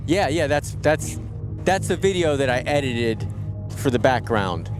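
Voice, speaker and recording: A man's voice: mid-range voice, animated, a little slow. A man hosting a casual live show outdoors at a market, rambling and restarting his sentences while teasing his co-host. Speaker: podcast host. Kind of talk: casual live-stream chat. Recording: clear music in the background.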